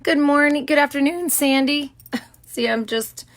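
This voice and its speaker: Hoarse middle-aged woman, chatty craft livestream host at her desk, loose and rambling with lots of ums. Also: high-pitched, a little slow. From Florida. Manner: chatty, greets viewers by name, many ums. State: hoarse, sore throat. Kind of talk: casual livestream demo. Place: home craft studio.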